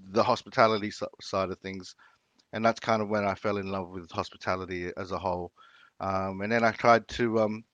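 Low-voiced aussie guy chatting in an interview, lots of ums, dry close sound. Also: fairly bright tone, no room sound, lo-fi sound. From Philippines, raised in Sydney. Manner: hesitant, many fillers and restarts. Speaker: chef. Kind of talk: casual interview.

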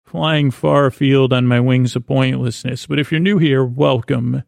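A man hosting a podcast with a halting, meandering bedtime monologue in a creaky voice, often trailing off mid-thought. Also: medium pitch, steady tone, a little mellow, dry recording, studio quality. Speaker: podcast host. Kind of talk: rambling comedic bedtime monologue.

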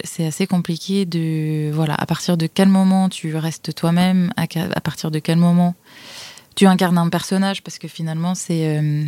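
Brief: thoughtful woman, somewhat low voice, hesitant and reflective, close dry recording. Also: warm tone, no room sound. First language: French. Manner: reflective, searching for words. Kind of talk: casual discussion.

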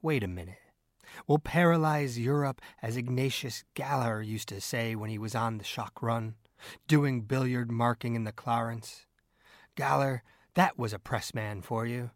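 A man voicing an excitable, scornful, bullying newspaper editor in an audiobook, with an emphatic, blustering delivery. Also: medium pitch, unhurried, no echo, clean studio sound, lightly acted. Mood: excited boasting.

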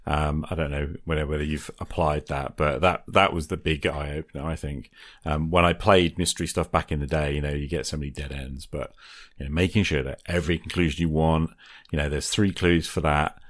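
A man's voice: extremely low pitch, steady tone, a little fast. A man hosting a casual interview, talking conversationally and checking in with his guest as he goes. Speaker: podcast host, interviewer.

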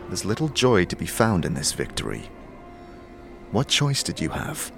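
A man narrating a scripted second-person fantasy audio drama in a measured, dramatic storytelling manner. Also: fairly low pitch. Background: music.

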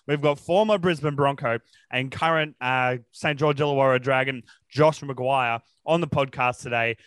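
Casting: male Aussie podcast interviewer, crisp clean tone, very dry sound, matey and keen, casual phrasing. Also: medium pitch, normal speed, a bit bright, no echo. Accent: Australian. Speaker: sports podcast host.

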